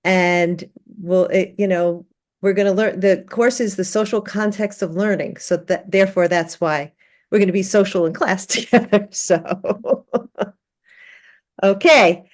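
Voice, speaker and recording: A woman teaching an online class, giving a relaxed orientation with many fillers and restarts. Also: medium pitch, unhurried. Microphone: video call.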